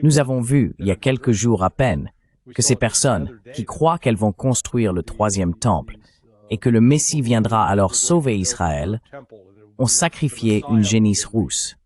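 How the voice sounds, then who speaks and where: A man's voice: on the low side, animated, unhurried, darkish tone. A man's synthetic dubbing voice reading translated political commentary as a discussion panelist.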